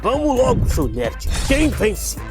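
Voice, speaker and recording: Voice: mid-range voice, very animated, slow pace, music in the background. An actor voicing a brash, mocking young male anime hothead in a parody debate, taunting and exclamatory. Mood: impatient, teasing.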